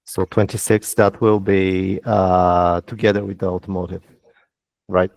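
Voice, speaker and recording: A man asking semi-formal questions on an investor earnings call in a hesitant, halting way. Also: low voice, little pitch movement, gravelly, darkish tone, no room sound.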